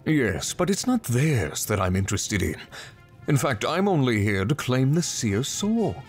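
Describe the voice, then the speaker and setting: A man's voice: mid-range voice, sing-song, breathy edge, soft background music. Smug, ruthless beast-man villain. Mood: smug, mocking. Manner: smug, menacing, unhurried. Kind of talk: voiced fantasy video game acting.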